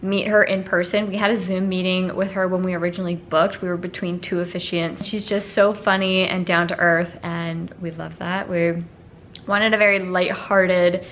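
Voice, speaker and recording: A woman's voice: fairly low pitch, little pitch movement, normal speed, a bit bright, highs cut off, slight background noise. Chatty congested young woman vlogging. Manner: chatty, upbeat, rambling with fillers and laughs. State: congested and nasal from allergies. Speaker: lifestyle vlogger. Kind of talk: casual chat to camera.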